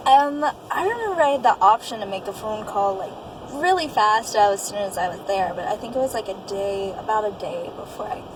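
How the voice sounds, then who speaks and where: A woman's voice: mid-range voice, expressive, brisk, background noise. A young adult woman telling her own story casually and candidly, in colloquial speech with quoted dialogue.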